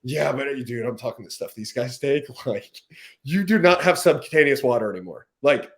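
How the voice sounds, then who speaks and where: A man in his twenties talking as a podcast guest, candid and animated. He fires off quick asides and keeps a casual, chatty rhythm. His voice sits at a plain middle pitch. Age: 20s.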